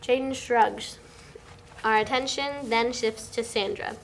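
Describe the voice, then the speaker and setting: A woman's voice: fairly high pitch, fairly even intonation, slow pace, creaky. A woman reading out scripted stage directions as the narrator at a table read. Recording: noticeable background noise, amateur room recording.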